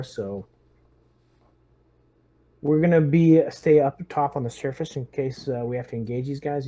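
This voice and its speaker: A man's voice: mid-range voice, dark tone. A man playing a tabletop roleplaying game, talking casually and giving orders in character.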